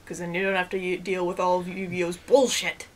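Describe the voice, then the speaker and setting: A man's voice: sits high, monotone, brisk, a bit bright. A young man co-hosting a casual rant, bantering in a blunt and sarcastic way. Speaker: review show co-host.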